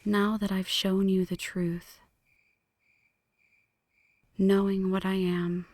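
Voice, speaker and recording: A woman playing an ancient, lovestruck vampire in a slow, breathy whispered ASMR roleplay, intimate and solemn, close to the microphone. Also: mid-range voice, little pitch movement, a little mellow, no echo, close to an everyday voice. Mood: tender, hopeful. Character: ancient flirty female vampire, brooding, lovestruck, world-weary. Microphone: close microphone, dry.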